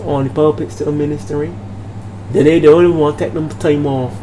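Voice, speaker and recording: A man's voice: a little high, brisk. An older man with a Bajan accent talks casually as a minister hosting his vlog, preachy and emphatic, repeating phrases and checking in with listeners. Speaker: minister and channel host. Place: small room at home, indoors. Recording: noisy room with steady background hum.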